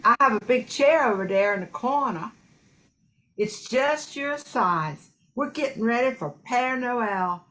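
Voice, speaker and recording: A woman's voice: on the high side, expressive, a little slow, fairly bright tone, a little hiss or hum, rough recording. A woman playing a kindly, hospitable Cajun bayou woman in an amateur radio play. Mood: welcoming.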